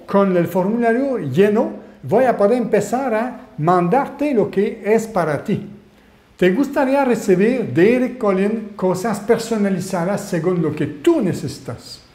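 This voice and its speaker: French-Canadian male webinar host, animated, asks the room questions, roomy echo on a webcam recording. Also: high voice. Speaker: online marketing mentor. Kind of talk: webinar presentation.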